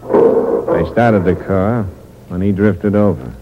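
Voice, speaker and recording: Cold impostor killer, very low voice, terse slang, hard-boiled 1940s radio drama, muffled old recording. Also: male voice, takes it slowly. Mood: cold narrating. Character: scheming cold male impostor and killer narrating his crime. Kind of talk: 1940s radio mystery acting, confessional narration.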